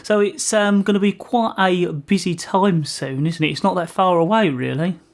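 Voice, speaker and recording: Hesitant male community radio interviewer. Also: high-pitched. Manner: hesitant, halting questions. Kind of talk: informal local radio interview.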